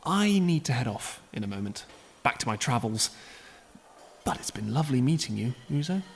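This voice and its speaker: A man voicing an eccentric, excitable male alien time traveller who bursts with enthusiasm. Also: medium pitch, vocal fry, slightly rough, exaggerated voice. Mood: enthusiastic. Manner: energetic, bubbly, quick leaps of enthusiasm.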